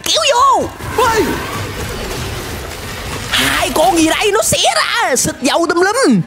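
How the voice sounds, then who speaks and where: A man's voice: very high-pitched, big pitch swings, takes it slowly, gravelly, over loud music. A young man with a southern Vietnamese accent giving playful, exclamatory reaction commentary in a casual, chatty way on a close microphone. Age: young adult. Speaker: reaction video host. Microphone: close desk microphone with headset.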